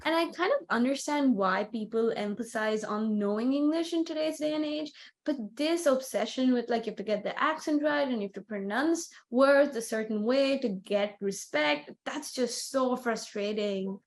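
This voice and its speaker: A woman's voice: fairly high pitch, moderate pace. A young woman from India, co-hosting a casual podcast and talking reflectively with many "like"s and "you know"s. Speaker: podcast co-host. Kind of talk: casual conversational chat.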